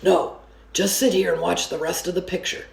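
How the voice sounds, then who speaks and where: A woman reading as a calm, practical father with a low-key, matter-of-fact manner. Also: normal speed, vocal fry, echoey room. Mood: calm, firm.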